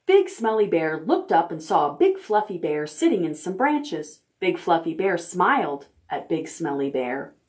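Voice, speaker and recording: A woman's voice: fairly low pitch, big pitch swings, darkish tone. Woman, children's librarian, upbeat and encouraging storytime read-aloud; distant camera mic in an echoey room. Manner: cheerful, slow and encouraging, talking to small children. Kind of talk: preschool storytime, reading aloud and counting with kids. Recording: roomy sound from away from the camera mic.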